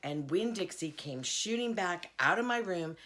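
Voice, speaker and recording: Slow, low-voiced woman reading a story. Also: animated, fairly bright tone. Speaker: reader, school board member. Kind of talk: children's novel read-aloud.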